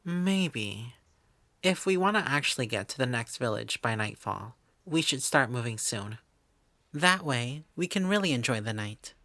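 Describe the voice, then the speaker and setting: A woman's voice: very deep voice, expressive, measured pace, a bit bright, no echo, full character voice. A woman voicing a proud female elf wizard in an audio roleplay, prim and aloof, often sighing. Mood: softening.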